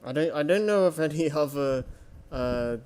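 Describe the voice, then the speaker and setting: A man's voice: a little high, animated, normal speed, a little mellow. A man chatting casually as a co-commentator on a storytime show. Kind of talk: casual chat.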